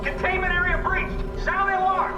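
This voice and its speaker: An agitated military commander in a video game, barking urgent orders in a high male voice. It sounds echoey and distant. Loud music plays behind. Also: little pitch movement, a little fast. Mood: alarmed. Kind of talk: scripted video game voice acting.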